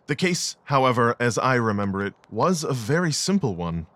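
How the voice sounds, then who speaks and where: A man playing a brisk, analytical Victorian gentleman detective in an audio drama, terse, formal and detached. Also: mid-range voice, big pitch swings, no echo. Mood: detached, curious.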